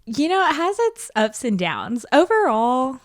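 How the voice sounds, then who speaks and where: A woman's voice: sits high, very animated, a little slow. A woman in her twenties, a podcast guest raised in small-town Tennessee, chatting casually, self-mocking and laughing often. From small-town Tennessee, born in Indonesia. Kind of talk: casual interview chat.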